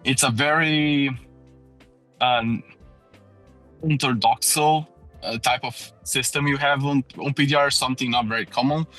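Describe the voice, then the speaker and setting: A man's voice: medium pitch, steady tone, a little slow, long pauses, sharp tone, soft background music. A man from Brazil with a Brazilian Portuguese accent, speaking English as a second language with earnest restarts as a podcast guest. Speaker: guest, door-to-door auto hail repair salesman. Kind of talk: casual podcast conversation.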